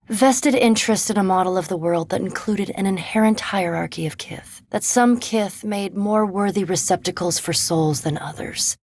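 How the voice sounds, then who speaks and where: A woman voicing a centuries-old vampire scholar in a video game, speaking in an erudite, measured, formal way with composed curiosity and dry superiority. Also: medium pitch, gravelly, dry recording. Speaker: animancy researcher and ship companion in a fantasy video game. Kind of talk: video game character dialogue.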